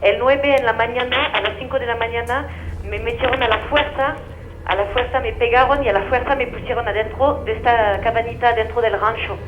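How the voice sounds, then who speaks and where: A woman's voice: fairly high pitch, steady tone, fairly quick. An actress playing an urgent, indignant detained woman insisting on her account over a telephone. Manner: insistent, repetitive, emphatic. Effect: telephone filter. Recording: muffled echoey phone call, faint noise.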